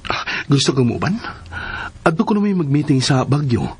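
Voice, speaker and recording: A man playing an agreeable husband and young father in a Filipino radio drama, with a husky, raspy voice and a Filipino accent. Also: mid-range voice, sing-song, normal speed. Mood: coaxing, agreeable.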